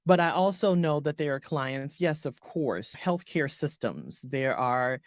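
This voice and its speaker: A woman voiceover artist talking through her business in an interview, halting often and correcting herself mid-sentence. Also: sits low, expressive, no room sound, clean studio sound. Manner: halting, many restarts and self-corrections. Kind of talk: conversational business coaching interview.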